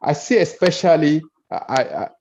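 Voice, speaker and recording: A man's voice: fairly high pitch, animated, a little slow, gravelly, darkish tone, a little hiss or hum. A man with a Nigerian accent coaching on public speaking, earnest, often repeating words as he builds his points. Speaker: speaker trainer. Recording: dull lo-fi audio.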